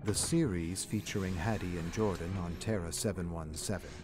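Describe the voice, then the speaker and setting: An actor playing a brooding, trapped scholarly researcher, narrating game lore in a measured, formal, contemplative way. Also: male voice, low voice, over music, natural voice. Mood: pensive, studious. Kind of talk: scripted dramatic story narration.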